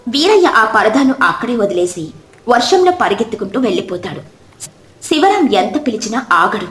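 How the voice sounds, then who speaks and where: High-voiced woman narrator, roomy echo. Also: very animated, normal speed, fairly bright tone. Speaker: story narrator. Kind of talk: scripted dramatic moral-story narration.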